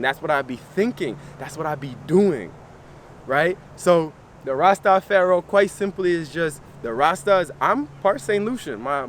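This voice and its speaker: High-voiced earnest young man. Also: slight background noise. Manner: earnest, repeats phrases for emphasis; relaxed slangy speech. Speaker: wellness channel host. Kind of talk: casual motivational talk to camera.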